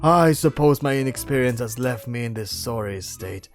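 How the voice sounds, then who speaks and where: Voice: mid-range voice, expressive, moderate pace, soft background music, natural voice. A storyteller voicing a tiny, young demon snake who is melodramatic, grandiose and easily offended.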